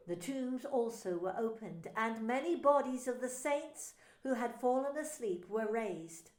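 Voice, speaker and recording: A woman pastor narrating a scripture story in a slow, solemn reading. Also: fairly high pitch, darkish tone, reverberant.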